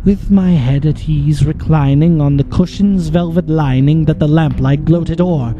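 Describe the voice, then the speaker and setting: A man giving a theatrical, dramatic recitation of a poem, often dropping into hushed whispers. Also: on the high side, measured pace, muffled recording. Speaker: narrator performing a poem. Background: music.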